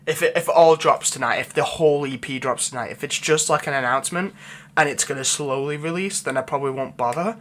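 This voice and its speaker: A man's voice: fairly high pitch, fast talker, fairly bright tone. A man who makes ASMR roleplays, chatting casually with his viewers, rambling with lots of fillers.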